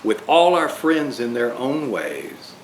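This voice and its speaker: A man dressed as Santa reading rhyming children's books aloud in a slow, expressive way. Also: medium pitch, slight background noise. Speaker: storyteller playing Santa. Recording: roomy echo.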